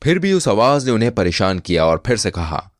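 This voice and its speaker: A man narrating a scripted comic moral tale as an expressive storyteller. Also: fairly low pitch, a little fast, dry recording, clean studio sound.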